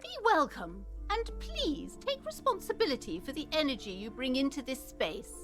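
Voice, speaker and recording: A woman's voice: high-pitched, very animated, moderate pace, music in the background. An actress voicing a prim, snobbish female bookshop owner who lectures customers in a mannered, haughty way. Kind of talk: video game character voice.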